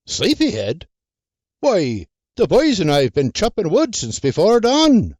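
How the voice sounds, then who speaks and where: A narrator voicing a steady, faithful young farmhand who speaks in an earnest, mild manner. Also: male voice, high voice, a little slow, husky, no room sound, studio quality, pitched above the performer's own voice, exaggerated voice. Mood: cheerful.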